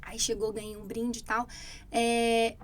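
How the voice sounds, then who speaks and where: A woman's voice: a little high, a little slow. A Brazilian woman, an English teacher co-hosting a podcast, telling a personal story in casual chat and acting out what people said. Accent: Brazilian Portuguese. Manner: animated storytelling, quoting others.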